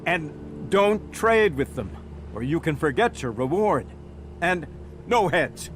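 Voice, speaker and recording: High-voiced, fussy, anxious city official. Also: male voice, very animated, takes it slowly, background noise. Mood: flustered. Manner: flustered, stammering when rattled. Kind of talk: video game dialogue acting.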